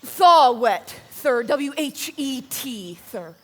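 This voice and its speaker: A woman's voice: sits high, lively intonation, takes it slowly, exaggerated voice. A woman playing a hapless junior officer in a comedic stage dialogue. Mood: earnest, deferential. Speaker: actor.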